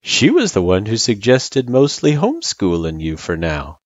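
A man's voice: fairly low pitch, huge pitch swings, moderate pace, dry recording, lo-fi sound, voice pushed up. A man voicing a laid-back, teasing, reassuring buddy with a casual, joking delivery in a story reading. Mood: breezy. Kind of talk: character voice in story narration.

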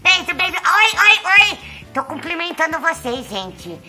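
A woman's voice: extremely high pitch, sing-song, unhurried, music in the background, full character voice. A woman with a Brazilian accent voicing a chatty, nervous, affectionate young male house cat in a pet vlog. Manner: sweet, coy, chatty to viewers.

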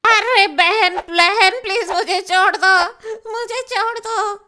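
A woman's voice: very high voice, takes it slowly, pushed and bright, heavily acted. A woman voicing a panicking male kidnapper who wails and begs for mercy in a children's doll story. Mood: panicked, pleading. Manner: whining, wailing pleas.

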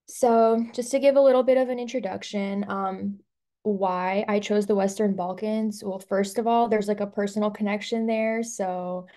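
A woman's voice: medium pitch, fairly even intonation, moderate pace, warm tone. A young adult woman from Albania, a student giving a webinar presentation over a video call, talking casually with plenty of "um" and "like" fillers.

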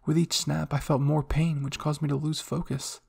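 A man reading fan fiction aloud as dramatic first-person story narration, moving between hushed and intense delivery. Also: fairly high pitch, level tone, normal speed, gravelly, slightly rough. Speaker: audio fan fiction reader.

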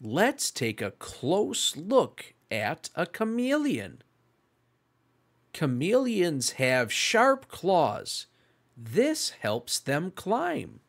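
Casting: children's read-along host, extremely slow and deliberate, with big swooping, exclamatory intonation. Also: mid-range voice, wildly swooping pitch. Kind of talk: slow animated read-aloud for young children.

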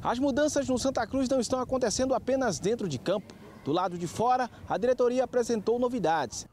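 High-pitched Brazilian male sports reporter. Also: fast, darkish tone, a little hiss or hum. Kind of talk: scripted narration.

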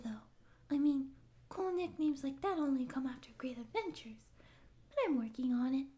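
A woman's voice: sits high, mellow. A woman voicing a timid but eager young catgirl who wants to be a space pirate, girlish and sometimes whispery, with nervous bluster that slips into meek rambling, in an audio roleplay. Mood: sheepish, rambling. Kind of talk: scripted ASMR audio roleplay.

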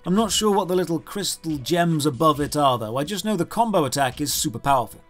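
A man's voice: on the high side, animated, quick pace. A man narrating a scripted comedic video game review in a sarcastic, exasperated manner. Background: video game music.

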